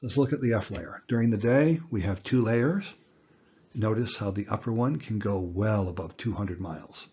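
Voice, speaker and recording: Older man, low husky voice, teaching. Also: moderate pace, warm tone, highs cut off. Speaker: instructor. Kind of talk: educational lecture with plain explanations.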